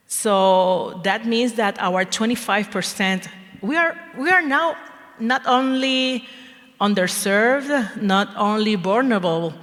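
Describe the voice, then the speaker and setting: A woman's voice: mid-range voice, a bit bright. A woman advocate on a panel making emphatic points with rhetorical questions. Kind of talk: panel discussion. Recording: reverberant hall.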